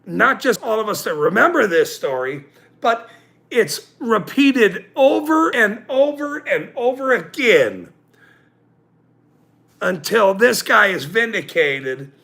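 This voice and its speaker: Gravelly ranting man in his forties. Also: high voice, lively intonation, slow. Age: 40s. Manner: angry, profane, with loud outbursts and self-mocking laughs. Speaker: channel host. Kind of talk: livestream rant.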